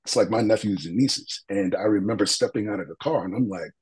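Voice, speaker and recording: A man with a deep voice, a guest in an interview, telling an earnest, reflective story with frequent fillers and restarts. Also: fast talker, a little mellow. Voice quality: deep, husky voice.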